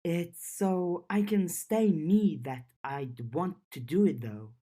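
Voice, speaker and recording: A woman's voice: fairly low pitch, takes it slowly, dark tone, breathy edge. A woman voicing a blunt, restless teenage girl with a wry streak in an audiobook. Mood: earnest. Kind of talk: audiobook character dialogue.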